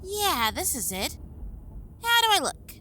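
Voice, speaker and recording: An actress playing a reckless, foul-mouthed young vampire out to party in an audio drama, excitable, cheeky and defiant. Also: female voice, very high voice, a little slow, slight background noise, full character voice. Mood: excited.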